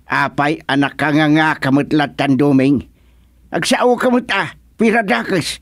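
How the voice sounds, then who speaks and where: High, excitable, exasperated father. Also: measured pace, no room sound, studio quality. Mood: scolding. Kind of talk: comedic radio drama acting.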